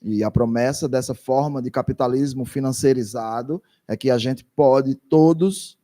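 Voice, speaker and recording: A man's voice: medium pitch, normal speed, dark tone. A man doing consecutive interpretation for a lecture, speaking hesitantly with frequent restarts.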